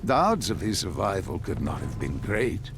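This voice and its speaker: An actor playing a sinister older doctor who questions formally and deliberately, with calm menace. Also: male voice, sits low, creaky, heavily acted. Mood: probing. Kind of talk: dramatic game cutscene acting. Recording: music under the voice. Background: dramatic game soundtrack.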